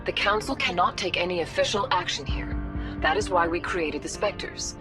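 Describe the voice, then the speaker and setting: An actress playing a diplomatic, authoritative female alien councilor in a video game, measured and formal, with music behind her. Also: fairly low pitch, lively intonation, lightly acted. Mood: calm, diplomatic.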